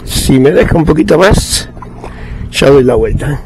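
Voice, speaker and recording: A man's voice: a little high, lively intonation, unhurried. Man narrating from a kayak, noisy outdoors. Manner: chatty, points things out to viewers. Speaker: vlogger kayaking. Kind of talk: casual narration to viewers while paddling. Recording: outdoor camera audio, clear background noise. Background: water and paddling sounds.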